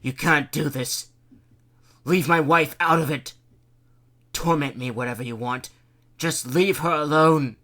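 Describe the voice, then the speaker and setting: A man voicing a tormented middle-aged male mayor who begs, sobs and shouts. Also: fairly high pitch, extremely slow, hardly any pauses. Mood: pleading. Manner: pleading, shouting, sobbing.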